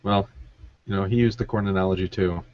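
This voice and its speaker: A man's voice: low-pitched, fairly even intonation, fairly quick, a little mellow, dry recording, highs cut off. A man co-hosting a casual book discussion, thinking aloud in a hesitant way. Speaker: podcast co-host.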